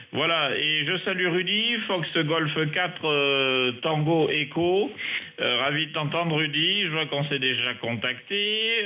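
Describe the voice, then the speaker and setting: A man chatting casually as an amateur radio operator, reading phonetic call signs in a halting, technical way. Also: on the high side. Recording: muffled narrow-band amateur radio audio.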